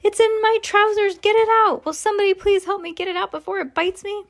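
A panicking male cook from a children's storybook, acted out by a woman reader. He yells in a frantic, high voice, pitched far above ordinary talk, in short alarmed shouts. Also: fairly even intonation, brisk. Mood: panicked. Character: panicking male kitchen cook yelling about a mouse.

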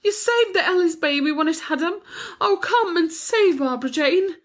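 A woman's voice: very animated, normal speed, a little mellow. A woman reading a storybook, voicing an emotional, lonely woman in a tremulous voice. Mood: frantic pleading. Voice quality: tremulous, breathy high voice. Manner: pleading, breathless. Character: distraught lonely woman, pleading and tearful.

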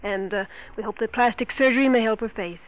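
An actress playing a calm, careful hospital nurse in a 1940s radio drama. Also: female voice, on the high side, fast talker, natural voice. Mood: reassuring. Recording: muffled old radio recording.

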